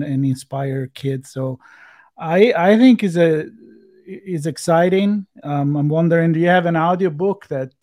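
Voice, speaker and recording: Male co-host, slightly high mellow voice, halting delivery. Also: no room sound. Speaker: interview co-host. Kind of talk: conversational interview.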